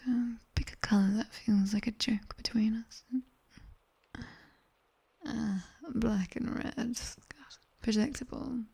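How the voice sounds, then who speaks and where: A British woman playing a sharp-tongued nightmare demoness tutor in an ASMR roleplay, whispering breathily and close to a binaural mic with wry, commanding instruction. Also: a little high, gravelly, slightly rough, full character voice. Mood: wry, teasing. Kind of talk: ASMR roleplay, guided sleep meditation. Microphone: close binaural microphone.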